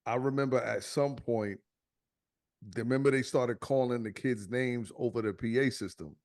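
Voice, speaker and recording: A man's voice: medium pitch, normal speed. A man in his thirties hosting a podcast, telling stories in loose, slangy, profane chat. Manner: slangy, profane, animated storytelling. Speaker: podcast host.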